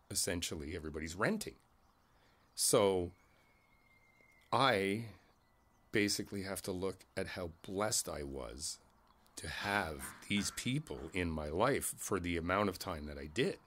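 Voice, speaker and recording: A man playing a kindly older neighbor in an ASMR roleplay, reflective and candid, talking in a halting, conversational way and repeating his phrases. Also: low-pitched, a bit bright, slight background noise. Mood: reassuring, philosophical.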